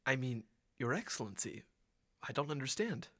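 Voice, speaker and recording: Man playing a meek, deferential pilot, lively intonation. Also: mid-range voice, normal speed. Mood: meek, apologetic. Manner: meek, formal, hesitant. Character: obedient, deferential male pilot to a tyrant. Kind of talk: old-time radio drama acting.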